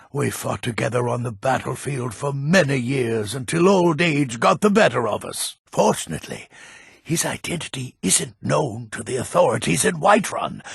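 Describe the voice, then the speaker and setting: An actor playing a grumpy, crafty old merchant in a fantasy video game, gravelly and husky, with a grumbling, theatrical delivery. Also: male voice, medium pitch, dry recording, studio quality, heavily acted. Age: older.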